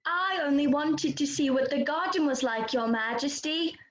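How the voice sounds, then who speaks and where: A woman's voice: high-pitched, moderate pace, roomy sound, natural voice, low-quality recording. A woman playing an earnest, polite, puzzled young girl in a children's readers' theater performance. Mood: polite, apologetic. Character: lost, polite but questioning young girl.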